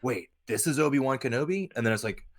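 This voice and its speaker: Man, podcast host, medium pitch, very lively intonation, emphatic, casual, sweary. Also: quick pace. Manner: emphatic, profane, acts out imagined quotes. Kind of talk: casual chat.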